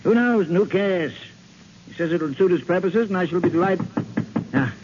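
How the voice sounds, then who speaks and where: An irritable, self-important rich gentleman in an old radio drama. He grumbles and blusters in a somewhat high voice. The recording is muffled with faint noise. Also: lively intonation, normal speed. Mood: brisk, gruff. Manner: grumbling, pompous. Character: irritable, blustering wealthy male owner of decaying mansion. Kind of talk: 1940s radio acting.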